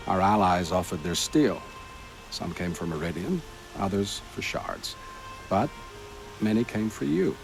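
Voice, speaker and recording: A man voicing an urbane, drily witty court advisor in a video game, speaking formally and in measured phrases. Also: low voice, creaky, a little hiss or hum, exaggerated voice, low-quality recording. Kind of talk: video game cutscene acting.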